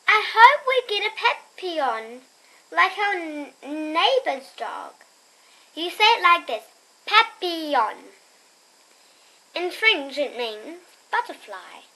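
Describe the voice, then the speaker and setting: A young girl reading a picture book aloud expressively, with chatty, quieter asides to her listeners. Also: very high-pitched, big pitch swings, slow, rough recording. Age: child. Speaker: storybook reader and host.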